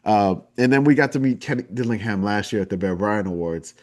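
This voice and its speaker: A man with a low-pitched voice and a New Orleans drawl talks in a loose, rambling way. He jumps between thoughts, restarts sentences and piles on fillers with plenty of enthusiasm. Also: brisk, a little mellow. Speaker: podcast guest. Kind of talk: casual chat.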